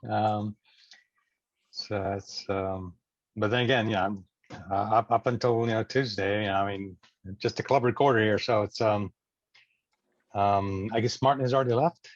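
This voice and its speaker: A man's voice: fairly low pitch, fairly even intonation, normal speed, long pauses, dry recording. A man chairing an informal online meeting, hesitant and often searching for his words, heard over a video call. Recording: dull, dry video-call audio.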